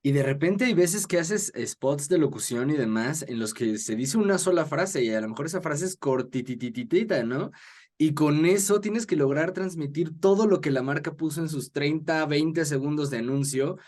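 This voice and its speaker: A man's voice: a little high, normal speed, darkish tone. A man with a Mexican accent chatting casually as the host of an interview.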